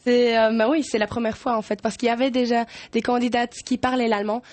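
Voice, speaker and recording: A woman's voice: a little high, flat delivery, fast, a little mellow, dry recording. A woman in her twenties, a pageant candidate whose first language is German, answering interview questions in a studio in hesitant speech full of restarts and fillers. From German-speaking Belgium. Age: 20s.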